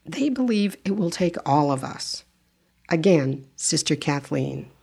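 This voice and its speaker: A woman's voice: low voice, expressive, unhurried, creaky, warm tone, slightly rough. A woman radio reporter narrating a scripted feature.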